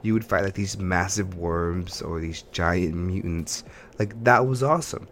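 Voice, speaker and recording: A man's voice: low-pitched, measured pace, slight background noise. A man co-hosting a podcast, chatting casually about games and films in a rambling, think-aloud way. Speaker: podcast co-host.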